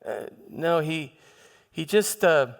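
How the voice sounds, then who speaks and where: A man's voice: fairly high pitch, slow. A man performing a troubled Roman governor's first-person monologue, telling the story with emphatic repetition in a large hall. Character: troubled, self-justifying Roman governor Pontius Pilate recounting events. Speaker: church dramatization performer. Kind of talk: dramatic first-person monologue before a congregation. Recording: long hall reverb.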